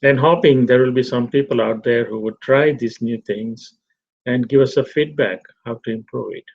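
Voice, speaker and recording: A middle-aged man from Tanzania giving a reflective talk to a fellowship, measured and repetitive. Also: mid-range voice, creaky. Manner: slow, measured, repeats phrases. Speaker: fellowship speaker. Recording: dull lo-fi recording.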